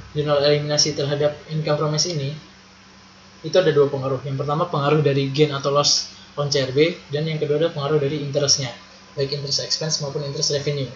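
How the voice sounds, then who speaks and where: Higher-pitched young man, muffled recording. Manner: step-by-step, conversational, rhetorical questions. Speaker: accounting tutor. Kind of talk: casual explanatory video lesson. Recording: muffled lo-fi with faint background noise.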